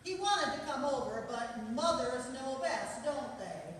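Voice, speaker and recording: A woman plays a knowing housewife narrator in a radio play, wry in manner. The voice is high and rings through a very echoey hall. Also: big pitch swings, a little slow. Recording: echoey hall, distant mic, faint noise.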